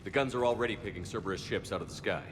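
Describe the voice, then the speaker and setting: A man playing a decisive, steady military commander in a sci-fi video game, curt and businesslike. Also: on the low side, racing, faint music behind, lightly acted. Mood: calm, businesslike.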